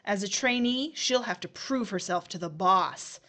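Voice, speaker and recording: A woman playing a brisk afterlife agent, gleefully approving, in an audio horror drama. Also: mid-range voice, bright, forceful tone, lightly acted. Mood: brisk, wry.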